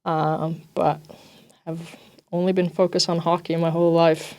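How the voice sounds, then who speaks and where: A woman's voice: lowish voice, flat delivery, a little slow, a little mellow. A young woman from Italy, a native Italian speaker, answering interview questions casually as an athlete, with lots of "you know" and "like". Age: young adult. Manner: informal, peppered with "you know" and "like". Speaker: ice hockey defender.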